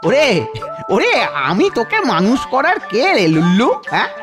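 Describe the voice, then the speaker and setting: A woman's voice: a little high, very animated, normal speed. A woman voicing a sly, greedy male celestial bookkeeper in a cartoon, scheming and repeating words. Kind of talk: children's cartoon voice acting. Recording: music behind the voice.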